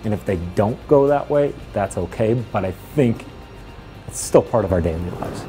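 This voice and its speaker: A man's voice: fairly low pitch, expressive, moderate pace. Male science teacher, music behind. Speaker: high school science teacher. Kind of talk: teaching and interview talk.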